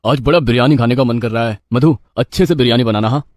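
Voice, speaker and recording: A man's voice: mid-range voice, quick pace, a little mellow, dry recording, close to an everyday voice. Dismissive husband, male actor, cartoon moral tale, bossy and curt, mid-range, dry studio-like sound. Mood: demanding. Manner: brusque, bossy. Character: dismissive, demanding husband who loves spicy food.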